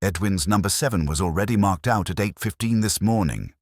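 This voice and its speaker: A man's voice: deep voice, a little fast, darkish tone, dry recording, studio quality, pitched above the performer's own voice. A man voicing a polite, forthcoming vicar in a mystery story. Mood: urgent recollection.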